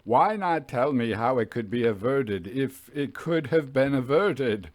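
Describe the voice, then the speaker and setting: A man's voice: mid-range voice, animated, unhurried, dry recording, natural voice. A man voicing a tormented, poor railway signalman, pleading in anguished despair in a dramatic ghost story reading. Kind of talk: dramatic audiobook acting.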